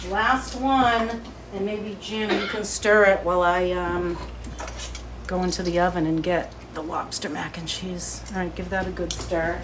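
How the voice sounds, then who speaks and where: A woman with a light Boston accent hosting a live cooking demo from her kitchen, chatty and excitable as she talks to viewers. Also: fairly low pitch, unhurried, background noise. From Boston area. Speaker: cooking show host. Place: home kitchen, indoors.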